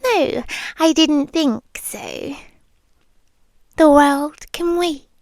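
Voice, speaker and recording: Woman playing a slow, high-voiced British vampire girlfriend. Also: creaky, no echo, clean studio sound, heavily acted. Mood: coaxing, affectionate. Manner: slow, hushed, lingering, coaxing with soft pauses. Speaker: ASMR roleplay performer. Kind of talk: intimate girlfriend roleplay ASMR, often whispered. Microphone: close microphone, dry.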